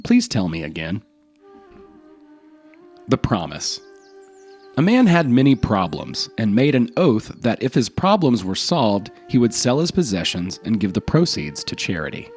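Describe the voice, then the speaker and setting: A man's voice: medium pitch, wildly swooping pitch, normal speed, faint music behind. A man narrating scripted folk tales as a storyteller.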